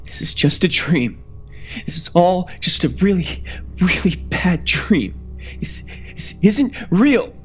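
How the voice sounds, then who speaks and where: A woman voicing a terrified, guilt-ridden husband in a first-person horror story, halting and breathy, often dropping to a whisper. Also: fairly low pitch, big pitch swings, exaggerated voice. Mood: dazed, disbelieving. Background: background music.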